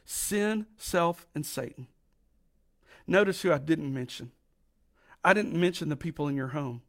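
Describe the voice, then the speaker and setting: Raspy-voiced pastor preaching. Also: male voice, a little high, lively intonation, a bit bright. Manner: folksy, emphatic preaching with slow drawn-out stresses. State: raspy voice from allergies. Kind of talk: sermon.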